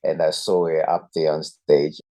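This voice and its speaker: A man's voice: very deep voice, little pitch movement, moderate pace, dark tone. A man, a youth pastor telling his story earnestly and reflectively as a podcast guest. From Zimbabwe. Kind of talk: podcast interview, personal story.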